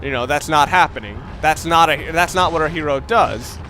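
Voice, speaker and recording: Bright-voiced young man rambling over gameplay. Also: a little high, normal speed, slight background noise, rough recording. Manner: rambling, opinionated, swears casually. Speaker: let's play commentator. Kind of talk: casual gameplay commentary.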